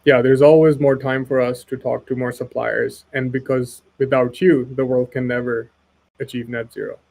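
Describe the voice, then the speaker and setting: Guy from pakistan on a webinar, earnest, keeps saying right? and like, middle pitch. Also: level tone, moderate pace, creaky, darkish tone, low-quality recording. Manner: earnest, persuasive, fillers like "right?" and "like". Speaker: expert guest. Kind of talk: casual webinar conversation.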